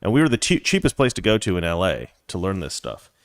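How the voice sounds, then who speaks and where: Guy hosting a political talk show, lowish voice, rambly and conversational, really dry sound no room echo. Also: wildly swooping pitch, fast talker. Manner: rambling, conversational, digresses into anecdotes. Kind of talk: casual political commentary.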